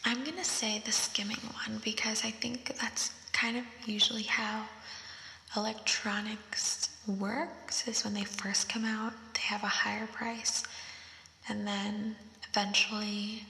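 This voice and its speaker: Slow whispering woman with echoey reverb. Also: medium pitch, little pitch movement, gravelly. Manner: soft unvoiced whisper, slow and thinking aloud. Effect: voice effect on the whisper. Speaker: ASMR creator. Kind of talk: whispered ASMR quiz reading. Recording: roomy echo with long reverb.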